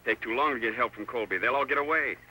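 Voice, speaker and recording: An American actor playing a blustery, folksy Western sheriff in an old-time radio drama. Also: male voice, medium pitch, fast talker, pushed and bright, slightly rough, rough recording. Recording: old radio broadcast with music behind.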